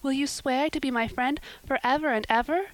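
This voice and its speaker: A woman's voice: fairly high pitch, very animated, normal speed. A woman voicing an eager, talkative orphan girl with a gushing, romantic way of speaking.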